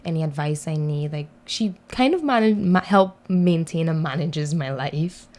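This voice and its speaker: A woman's voice: sits low, lively intonation, normal speed, darkish tone. A woman in her twenties from Guyana with a Guyanese accent, chatting easily as an interview guest and laughing often. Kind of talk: casual interview chat.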